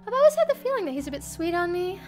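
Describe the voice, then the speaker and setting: A young woman with a high voice reads a first-person story expressively. Her intonation swings in a lively way, and music plays behind her. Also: very fast. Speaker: streamer reading a visual novel. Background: game music.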